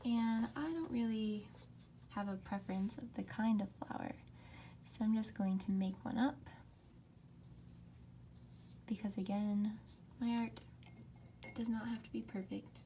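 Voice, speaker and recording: A young woman talks slowly and softly into a close mic, often slipping into a whisper as she leads an ASMR painting tutorial. Her low, mellow tone has a gravelly, fry-laced edge. A faint hiss sits under the voice. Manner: soft, often whispered, unhurried. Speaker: art tutorial host. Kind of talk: soft-spoken ASMR drawing tutorial.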